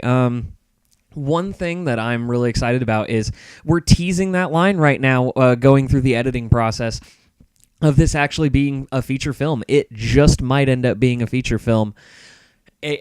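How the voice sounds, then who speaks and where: A man's voice: medium pitch, lively intonation, moderate pace, darkish tone, clear, resonant tone, no echo. Dry-sounding man chatting as podcast guest. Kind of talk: casual chat.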